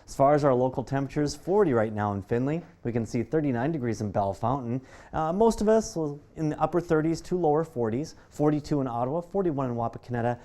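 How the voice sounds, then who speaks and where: A man's voice: medium pitch, lively intonation, quick pace, mellow. A man with an American accent presenting a weather forecast on television in an informal, explanatory way. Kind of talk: live broadcast weather forecast, conversational.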